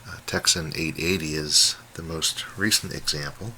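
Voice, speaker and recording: A man giving a casual, unscripted demonstration as a hobbyist presenter, talking in a halting, musing way. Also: extremely low pitch, pushed and bright, low-quality recording. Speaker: radio hobbyist presenting a comparison. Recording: faint background noise.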